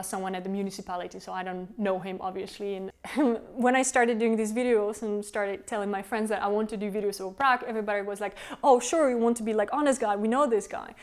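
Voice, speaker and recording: A woman's voice: mid-range voice, smooth. A young Czech woman with a Czech accent, a tour guide answering interview questions in a chatty way with many fillers. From Czech Republic. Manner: chatty, frequent fillers, loose phrasing. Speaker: tour guide and company founder.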